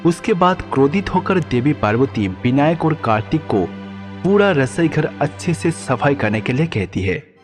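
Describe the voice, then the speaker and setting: A man's voice: on the high side, very animated, normal speed. A man narrating a scripted devotional storybook tale as the narrator. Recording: long reverb, background music.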